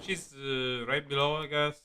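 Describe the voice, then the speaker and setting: A man streaming a video game with casual, rambling commentary, halting and jokey, often repeating his words. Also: medium pitch, little pitch movement, very noisy. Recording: game music behind the voice. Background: game music.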